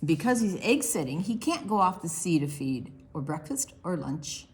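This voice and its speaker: A woman reading a picture book aloud for preschoolers, expressively and deliberately, with quiet asides to the young listeners. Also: on the low side, very animated. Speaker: children's librarian hosting online storytime.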